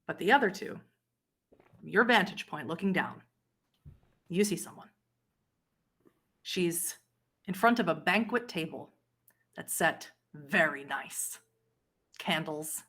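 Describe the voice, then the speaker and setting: A woman running a horror tabletop game as game master, narrating in a deliberate, dramatic way. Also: low-pitched, bright, forceful tone. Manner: deliberate, dramatic scene-setting with pauses.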